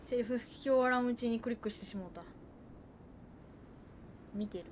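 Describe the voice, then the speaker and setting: Quick, playful woman game streamer. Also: a little high, a little hiss or hum. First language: Japanese. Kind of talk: casual livestream play commentary. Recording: game music behind the voice.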